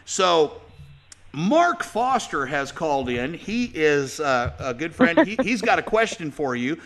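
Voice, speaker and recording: A man's voice: a little high, sing-song, a little slow, a bit bright. A man hosting his own radio show, chatting with a guest in a folksy way, full of fillers and restarts. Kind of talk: casual talk-radio interview.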